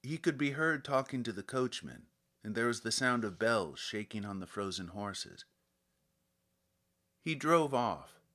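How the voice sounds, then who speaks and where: Bright-voiced man reading a story aloud. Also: mid-range voice, lively intonation, a little slow. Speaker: audiobook reader. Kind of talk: literary story narration.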